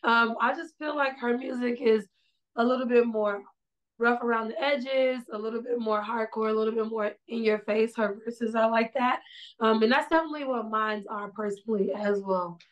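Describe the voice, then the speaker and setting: Animated woman on a video call. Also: a little high, moderate pace, low-quality recording. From South Side of Chicago. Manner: animated, gestures a lot while talking. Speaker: songwriter guest. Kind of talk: casual interview chat. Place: small indoor room.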